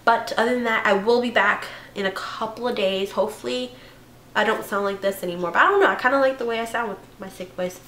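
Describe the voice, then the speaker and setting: A young woman with a hoarse voice from a cold, chatting casually through a makeup tutorial with fillers and self-corrections. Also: mid-range voice, fast talker, fairly bright tone, echoey room, slight background noise. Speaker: beauty vlogger.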